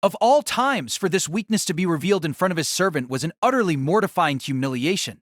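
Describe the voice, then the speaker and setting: Male narrator for fantasy audio drama, slightly high voice, scripted dramatic reading, dry close recording. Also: lively intonation, fast talker, a bit bright, no room sound.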